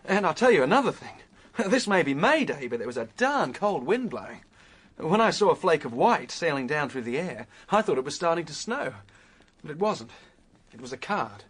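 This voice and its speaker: Broke young aussie guy, rueful storyteller, slightly husky voice, radio play. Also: fairly high pitch, big pitch swings, moderate pace, fairly bright tone, heavily acted. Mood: rueful, animated. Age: young adult. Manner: vivid storytelling, stammers when flustered. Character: penniless young Australian man recounting his adventure, determined, rueful. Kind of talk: radio drama acting and first-person narration.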